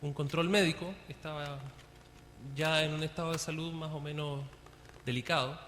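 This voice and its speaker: A man's voice: on the high side, fairly even intonation, normal speed, fairly bright tone. A man recounting an experience of his own as a conversational, spoken anecdote. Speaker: documentary maker recounting his recording. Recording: noisy, long hall reverb.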